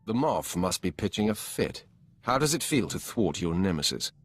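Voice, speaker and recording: Deep, raspy, sardonic dark lord. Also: male voice, very animated, soft background music, exaggerated voice. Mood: wry, approving. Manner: wry, imperious, measured authority. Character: commanding, sardonic male dark lord, a superior. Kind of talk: video game character acting.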